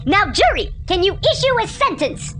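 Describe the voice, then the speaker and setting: A woman's voice: very high-pitched, sing-song, moderate pace, a bit bright, faint music behind, heavily acted. A woman playing a pompous, vengeful ruler of a criminal planet in a radio play. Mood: smugly imperious. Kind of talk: amateur radio play acting.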